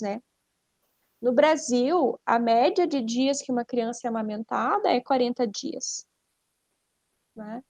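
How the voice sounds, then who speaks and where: A woman's voice: high-pitched, a little mellow. A woman with a Brazilian Portuguese accent, a speech therapist explaining conversationally as a podcast guest, didactic, posing questions and then answering them.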